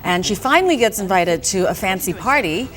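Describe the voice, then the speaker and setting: A woman's voice: mid-range voice, lively intonation, fairly quick, fairly bright tone, poor audio. A woman from Hong Kong, a director and actress, answering interview questions in a chatty way with many fillers. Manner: chatty, frequent "you know" and "um". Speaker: film director and actress, guest.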